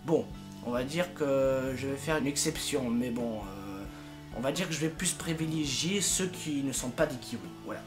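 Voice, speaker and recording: A man with a mid-range voice talks informally to online followers. His delivery is unscripted, rambling and halting. Music plays clearly behind him. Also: mid-range voice. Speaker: amateur fandub project leader and YouTuber. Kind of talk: casual, unscripted video update to followers.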